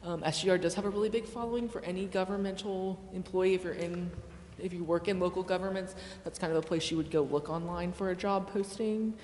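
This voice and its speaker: A woman's voice: lowish voice, steady tone, fairly quick, few pauses, a little mellow. Frank American woman in a reverberant room. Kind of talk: explanatory public meeting remarks. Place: indoor council chamber. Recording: reverberant meeting chamber with background noise.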